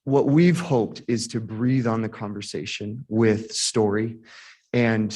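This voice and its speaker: An earnest man with a somewhat low voice addresses a city council. He speaks in long, listing phrases, stacking one group or idea after another. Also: fairly even intonation, slow pace, a little mellow, slightly rough. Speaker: housing task force speaker. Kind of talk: council presentation.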